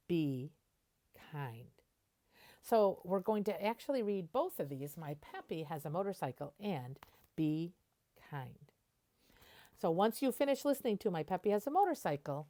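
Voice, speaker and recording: Female teacher-type reader for a children's picture book, slightly low pitch, highly animated intonation, expressive and plainly explanatory. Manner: expressive, explains words simply. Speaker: teacher reading aloud.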